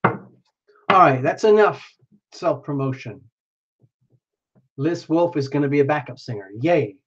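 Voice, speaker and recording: A man's voice: on the high side, measured pace, breathy edge, muffled recording. A man hosting a live stream, giving a casual, exuberant tarot reading and speaking straight to the viewer while losing his voice. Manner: exuberant, chatty, addresses viewer by name.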